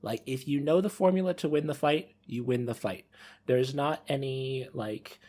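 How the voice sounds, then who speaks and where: A man's voice: mid-range voice, moderate pace, darkish tone. A man hosting a casual podcast discussion, rambling and rephrasing as he thinks aloud. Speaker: podcast host.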